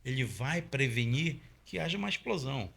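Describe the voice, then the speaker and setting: A man's voice: mid-range voice, lively intonation, normal speed, creaky, a bit bright. A middle-aged man from Pará with a Paraense accent, a guest in an interview, explaining step by step with many fillers and tag questions. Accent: Paraense Brazilian Portuguese. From Pará, Brazil. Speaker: guest, oil industry safety trainer.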